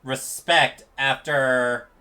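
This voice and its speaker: A man's voice: mid-range voice, fairly even intonation, extremely slow, pushed and bright. A man giving casual live commentary on a video game and chatting with viewers. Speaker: streamer reacting to a video game. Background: game music.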